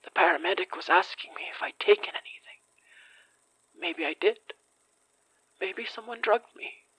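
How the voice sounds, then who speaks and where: An actress playing a bewildered, frightened survivor in an audio horror drama, halting and fragile, with a husky, breathy voice. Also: female voice, on the low side, a bit bright, no room sound, rough recording. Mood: dazed, distressed. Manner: halting, fragile, near-whispering. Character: shaken, bewildered female survivor of a supernatural attack.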